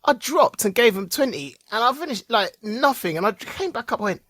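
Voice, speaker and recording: A man's voice: extremely high pitch, brisk, no room sound, clean studio sound. A man in his forties co-hosting a podcast, telling stories in casual chat and laughing often. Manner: laughs often, animated storytelling. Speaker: podcast co-host.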